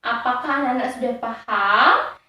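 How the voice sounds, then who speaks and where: The woman you would hear in a bare, ringing classroom in Bali, patiently walking pupils through each step in a lightly high voice. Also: normal speed, lo-fi sound. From Indonesia. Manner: didactic, checks in with frequent tag words. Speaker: high school math teacher. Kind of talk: step-by-step lesson explanation. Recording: very echoey, reverberant room.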